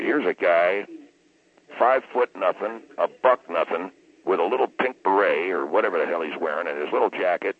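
A man playing a blustering, opinionated patriotic caller on a comic radio show, emphatic and repetitive, with a husky voice. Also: sits low, voice pushed down. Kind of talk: comic radio call-in rant. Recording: muffled, tinny phone line.

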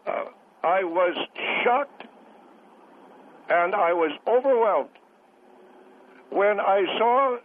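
Male host voicing a courteous elderly veteran caller, high and hesitant, over a tinny phone line. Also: expressive, extremely slow, pauses often, exaggerated voice. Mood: halting, earnest.

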